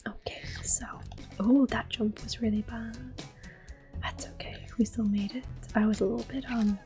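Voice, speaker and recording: Soft whispery woman, ASMR game commentary. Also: on the high side, steady tone, normal speed, faint music behind. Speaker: gameplay video host.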